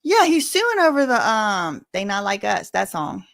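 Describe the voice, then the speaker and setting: A woman's voice: medium pitch, very animated, a little slow, gravelly, a bit bright, dry recording, clean studio sound. An American woman hosting a casual live stream, chatting with viewers in a colloquial, sassy way. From Louisiana, United States. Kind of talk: casual live chat reading and answering viewer messages.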